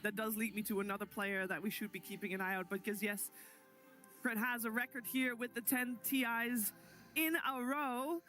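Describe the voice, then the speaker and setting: A woman's voice: fairly high pitch, fairly even intonation, normal speed, fairly bright tone, soft background music. A woman hosting an esports broadcast panel, steering the discussion between analysts. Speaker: panel host.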